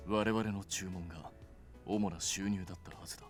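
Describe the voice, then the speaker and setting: A man's voice: deep voice, fairly even intonation, very fast, close to an everyday voice. An actor voicing a male character in a Japanese visual novel game. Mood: gruff, curt. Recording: game music behind.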